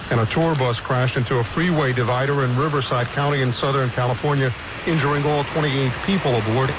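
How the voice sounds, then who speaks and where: An American man anchors a radio newscast in a medium-pitched voice, reading from a script. Clear hiss and noise sit over the broadcast recording. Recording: hissy, noisy radio aircheck.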